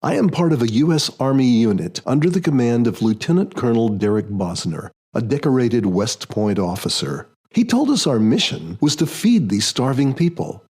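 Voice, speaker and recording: A man reading his own novel as a scripted audiobook, dramatic and solemn in a deliberate storytelling manner. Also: mid-range voice, sing-song, a little mellow, breathy edge.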